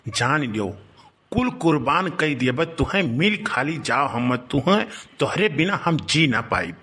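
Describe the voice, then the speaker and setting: Man playing a slow, high-voiced lovesick Awadhi villager. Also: big pitch swings, sharp tone, full character voice. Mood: lovesick, pleading. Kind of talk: comedy cartoon dialogue.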